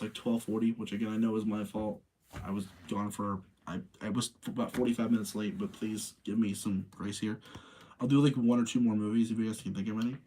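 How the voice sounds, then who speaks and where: A man hosting a livestream, talking casually in an informal, rambling, slangy way with a husky voice. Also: fairly low pitch, warm tone, a little hiss or hum, rough recording. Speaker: livestream host. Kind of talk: casual livestream chat and commentary.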